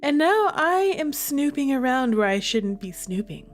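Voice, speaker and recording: A woman's voice: high-pitched, big pitch swings, a little slow, soft background music. A woman streaming a visual novel, chatting to viewers and reading the game text aloud with dramatic feeling.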